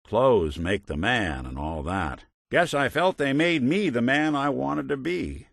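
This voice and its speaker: A man's voice: mid-range voice, lively intonation, a little slow, vocal fry, a bit bright, no room sound, heavily acted. A male actor playing a world-weary, principled android detective in a video game, with a wry, folksy hardboiled way of talking and old-fashioned slang. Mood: warm, wry. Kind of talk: video game companion dialogue, noir detective.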